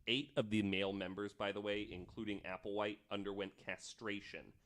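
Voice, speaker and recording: A man hosting a podcast, explaining researched material in a casual storytelling style, with pauses between read passages. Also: on the low side, flat delivery, fairly bright tone. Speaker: podcast host.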